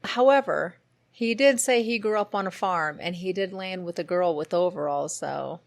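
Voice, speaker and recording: A woman's voice: on the low side, moderate pace, vocal fry, slight background noise. A woman from Brazil giving casual, amused commentary while reacting to an old dating show. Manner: chatty, amused, laughs often. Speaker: reaction video host.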